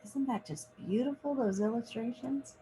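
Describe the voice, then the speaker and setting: A woman reading a children's picture book aloud in short, deliberate phrases, like a teacher. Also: fairly high pitch, dark tone, slight background noise, rough recording.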